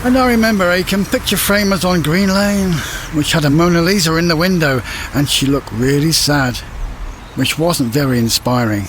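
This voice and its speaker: Male vox pop: slightly high voice, reminiscing, faint street noise. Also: moderate pace.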